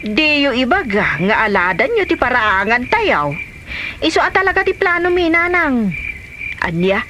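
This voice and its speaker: A nagging, prickly village woman played by an actress in an Ilocano comedy drama. Her high voice swings widely as she fusses and scolds. The recording has clear noise behind it. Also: big pitch swings, normal speed. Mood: scolding, questioning. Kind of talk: Ilocano comedy radio-style drama acting.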